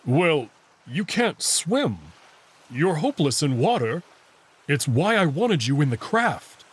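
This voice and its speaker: A man plays a big, protective furry alien male in a visual novel. He sounds very husky and breathy, speaking slowly and earnestly, with dramatic swoops in pitch and a worried, caring temper. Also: big pitch swings, exaggerated voice. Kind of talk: visual novel character voice acting.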